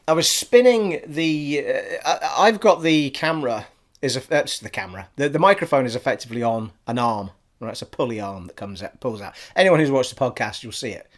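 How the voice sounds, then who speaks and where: A man's voice: mid-range voice, expressive, moderate pace. A man from Scotland with a generic English accent, rambling cheerfully through a casual gaming vlog from his living room. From Scottish, raised abroad in army schools. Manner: chatty and rambling, says "people" a lot. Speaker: gaming vlogger. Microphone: studio USB microphone on an arm.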